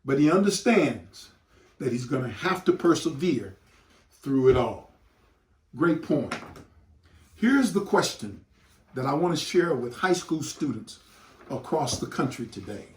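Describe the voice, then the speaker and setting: Slow, emphatic man in an echoey room. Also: on the high side, big pitch swings, slight background noise. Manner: deliberate, emphatic delivery with dramatic pauses and repeated phrases. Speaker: educator hosting a read-aloud for students and parents. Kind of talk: read-aloud lesson addressed to students. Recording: roomy echo, distant microphone.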